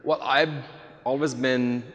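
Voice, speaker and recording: A man in his forties from India speaks as a guest on stage. His voice is mid-pitched, earnest and a little halting as he restarts phrases. It carries through a reverberant hall. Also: fairly even intonation, fairly bright tone. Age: 40s. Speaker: real estate agent, guest speaker. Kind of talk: on-stage interview answers. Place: large event hall with audience. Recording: echoey hall with faint noise.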